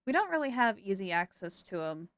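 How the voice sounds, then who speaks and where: A woman from the United States, streaming a game and chatting casually, full of "like" and quick asides. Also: medium pitch, sing-song, a bit bright, no echo. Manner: chatty, with many "like"s. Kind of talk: casual chat while playing.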